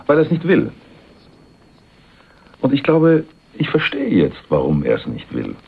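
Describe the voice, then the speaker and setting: An actor playing a smooth, wry go-between in a radio crime drama, speaking in a casual, teasing, sardonic way. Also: male voice, medium pitch, huge pitch swings, unhurried, full character voice. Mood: cool, needling. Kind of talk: 1980s German radio crime drama acting. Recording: dull, band-limited radio play recording.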